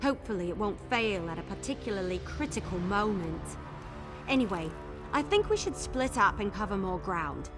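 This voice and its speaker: An actress voicing a spirited, chatty and mischievous young female scout in a video game, with an expressive delivery. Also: mid-range voice, normal speed, natural voice. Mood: teasing, concerned. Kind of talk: video game character acting. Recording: game dialogue over background music.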